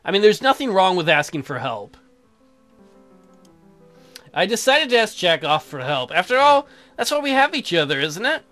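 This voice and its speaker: A man's voice: sits high, big pitch swings, normal speed, long pauses, sharp tone. A man doing casual, crude and sarcastic let's play commentary, swearing and reading game text aloud. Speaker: gaming video host. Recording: music behind the voice. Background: game music.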